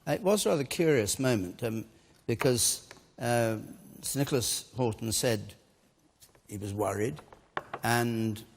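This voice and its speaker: Gravelly-voiced male debate moderator. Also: mid-range voice, expressive, a little hiss or hum. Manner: brisk, directing turns. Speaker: debate show host. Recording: studio hall with audience.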